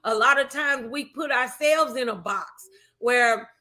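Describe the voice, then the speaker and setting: A woman's voice: on the high side, a little slow, pushed and bright. A woman hosting a casual morning show, speaking in an emphatic, motivational and folksy, familiar way. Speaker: talk show host.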